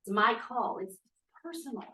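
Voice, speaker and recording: A woman's voice: fairly high pitch, expressive, normal speed, bright, forceful tone. A woman playing a defiant, free-spirited drama student of twenty-two in a play table read, arguing emphatically and theatrically. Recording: roomy, echoey, distant microphone.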